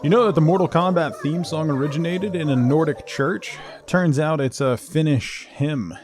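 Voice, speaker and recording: A man's voice: fairly high pitch, normal speed, noisy background. A man livestreaming a game with casual, conversational commentary, chatting to viewers in a laid-back way.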